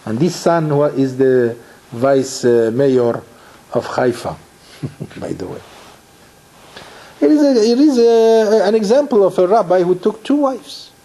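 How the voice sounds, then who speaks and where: A man's voice: high voice, animated, measured pace, long pauses, warm tone, rough recording. A middle-aged man from Morocco, a rabbi giving a Torah lecture, who restarts his phrases and asks rhetorical questions.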